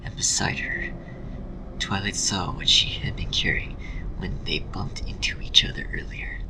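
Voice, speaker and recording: A man with a breathy voice reading a dramatic story as narrator. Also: deep voice, low-quality recording.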